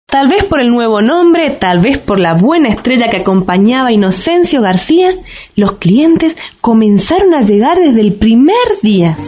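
A woman as the storyteller of a radio drama, giving scripted, clearly phrased, expressive narration. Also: on the high side, normal speed, highs cut off, soft background music. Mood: storytelling. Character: storyteller narrating an immigrant's tale.

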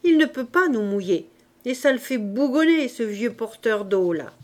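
A woman's voice: a little high, animated, moderate pace, close to an everyday voice. Woman voicing a brash slangy street urchin boy. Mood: mocking.

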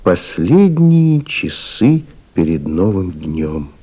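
A man narrating a literary radio play in a reflective, measured manner. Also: low-pitched, sing-song, warm tone, breathy edge.

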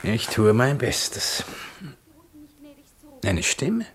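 An actor playing a shrewd, mocking imperial envoy in a radio play, with a dry, needling manner. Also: male voice, mid-range voice, very animated, drawn-out words.

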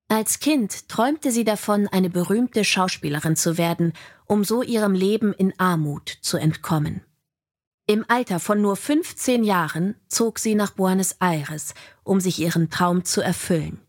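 Mid-pitched woman reading storybook narration. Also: measured pace, darkish tone. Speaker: audiobook narrator.

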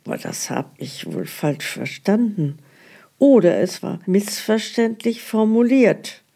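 A fussy old woman in a radio play, nursing her grievances. Her voice is lowish, dark and husky, and she grumbles slowly as a put-upon patient. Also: very animated, exaggerated voice. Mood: disappointed, plaintive. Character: aggrieved elderly woman patient, plaintive, fussy complainer.

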